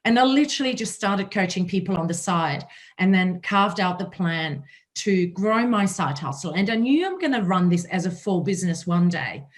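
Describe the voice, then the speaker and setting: A woman with a German accent and a raspy voice, hosting a panel talk and telling her own story in a conversational way. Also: fairly low pitch. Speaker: host.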